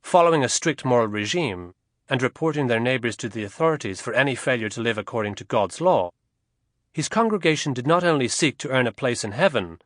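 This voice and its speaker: A man narrating a historical novel as an audiobook, in a measured, expressive storytelling manner. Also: medium pitch, no room sound, clean studio sound.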